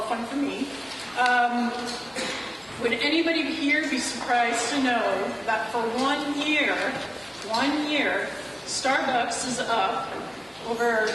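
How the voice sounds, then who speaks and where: Woman presenter, slight Dutch-sounding accent, chatty, distant echoey room. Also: a little high. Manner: chatty and joking. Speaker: wealth advisor presenting. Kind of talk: informal presentation to an audience. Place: large echoey venue, a car showroom. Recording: echoey hall from far off, noisy.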